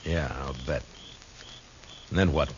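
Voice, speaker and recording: An American actor playing a shrewd, steady frontier marshal in a 1950s radio western, speaking firmly and deliberately. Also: male voice, a bass voice. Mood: firm, pressing. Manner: dry, deliberate, firm. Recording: dull, noisy old radio recording.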